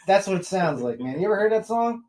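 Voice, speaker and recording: A man hosting a podcast in casual chat, laughing often and jumping in with quick reactions. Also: high voice, very animated, darkish tone. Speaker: podcast host.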